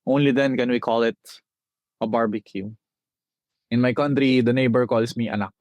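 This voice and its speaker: A young Filipino man with a Filipino accent, a spoken-word poet reciting his poems from memory with rhythmic phrasing and dramatic pauses. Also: mid-range voice, fairly even intonation, darkish tone, no echo, lo-fi sound. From Philippines.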